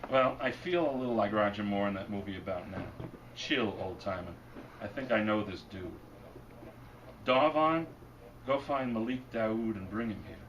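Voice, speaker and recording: A man reading his fiction aloud at a bookstore event, deadpan and wry, and losing his voice. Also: lowish voice, normal speed, highs cut off. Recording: distant mic, noisy room. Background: audience laughter.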